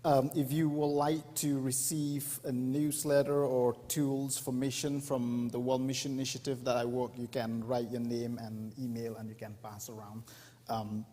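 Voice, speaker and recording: A man's voice: medium pitch, little pitch movement, dark tone. Man giving an earnest church talk. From India. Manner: earnest, explanatory, pauses often to find words. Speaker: mission speaker. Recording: long reverb in a church hall.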